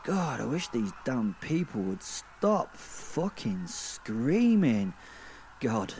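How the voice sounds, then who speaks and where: A man playing a foul-mouthed, flippant werewolf killer who narrates a horror comedy audio drama in rambling, halting, sardonic asides. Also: mid-range voice, very animated, a little mellow, slight background noise. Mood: bored, sardonic.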